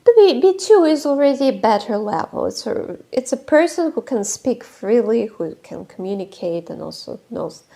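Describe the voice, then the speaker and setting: A woman's voice: fairly high pitch, very animated, moderate pace, vocal fry, few pauses, warm tone. A woman YouTuber giving casual, frank commentary, hesitating often with fillers and restarts.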